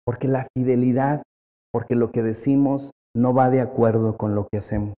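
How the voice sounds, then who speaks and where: A pastor preaching a sermon in a conversational style, repeating phrases for effect. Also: male voice, medium pitch, steady tone, moderate pace, warm tone. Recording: long hall reverb.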